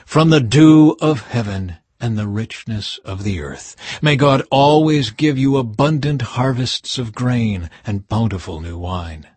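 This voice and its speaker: An old blind father speaks his blessing slowly and gravely, voiced by a man reading the story. The voice is husky and sits mid-range. The sound is dry and close. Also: medium pitch, lively intonation, darkish tone, no room sound. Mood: solemn.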